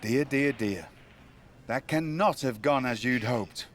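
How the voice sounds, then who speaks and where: A man's voice: medium pitch, takes it slowly, slight background noise, natural voice. Game cutscene interviewer, played by a man: arch, theatrical, wordy, middle-register male voice. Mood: mock sympathy. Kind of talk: video game cutscene acting.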